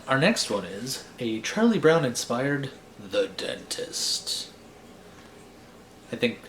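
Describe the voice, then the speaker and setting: A man's voice: on the low side, fairly bright tone, slight background noise. A man hosting a storybook read-aloud in a wry, theatrical way, with casual asides. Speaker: host reading stories aloud.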